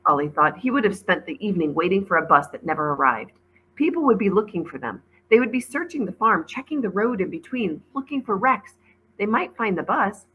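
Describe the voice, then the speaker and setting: A woman's voice: on the low side, sharp tone, a little hiss or hum, rough recording. A woman, a teacher, reading a children's novel aloud as narrator. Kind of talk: storybook read-aloud narration.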